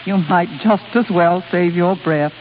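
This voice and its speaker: Actress as tart household aunt, echoing recording. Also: female voice, fairly low pitch, measured pace, lightly acted. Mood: brisk, tart. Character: brisk, no-nonsense aunt running the household. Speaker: actor in a radio play. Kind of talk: 1930s radio acting. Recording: echoing, tinny, hissy 1930s radio recording.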